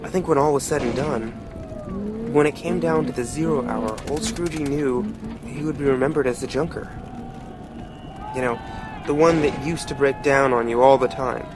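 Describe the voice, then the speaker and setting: A man's voice: mid-range voice, moderate pace, music in the background, lightly acted. A man playing a wry, streetwise storyteller, narrating a motion comic in a folksy, reflective, colloquial way. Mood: somber, reflective. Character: wry, streetwise male storyteller musing on death.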